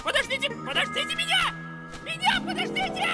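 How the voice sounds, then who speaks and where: A woman voicing an excitable, boastful little bird in an animated series, squeaky and repeating words eagerly. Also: brisk, music in the background. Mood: flustered.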